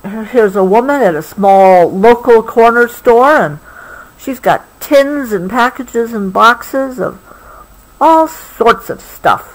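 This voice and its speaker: An American woman reading a picture book aloud to a child, expressively, pausing patiently to explain things in a casual way. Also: fairly high pitch, animated, poor audio.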